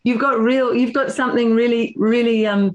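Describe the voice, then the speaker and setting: A woman's voice: a little high, fairly even intonation, normal speed, muffled recording. A woman from New Zealand interviewing guests over a video call, encouraging and affirming.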